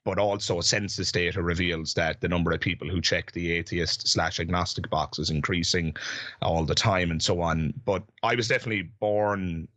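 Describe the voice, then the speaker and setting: A man's voice: low-pitched, fairly even intonation, a bit bright, dry recording. A man, hosting a casual debate and phrasing his questions in careful, restated steps. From Ireland. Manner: measured, restates questions with fillers and asides. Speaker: podcast host and debater.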